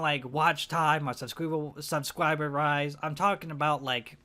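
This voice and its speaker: Hoarse, stuffed-up young man vlogging. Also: a little high, pushed and bright. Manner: rambling, hesitant, self-conscious. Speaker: gaming channel vlogger. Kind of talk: casual monthly vlog.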